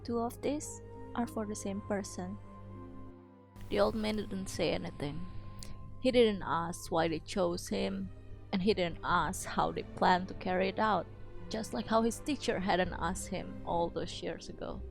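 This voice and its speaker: A woman's voice: on the low side, measured pace, creaky. A woman reading a story aloud as a narrator, in a dramatic storybook style. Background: music.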